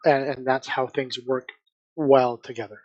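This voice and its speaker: A man's voice: medium pitch, flat delivery, unhurried, muffled recording. A man co-hosting a book club podcast, chatting casually and stressing his points by repeating them.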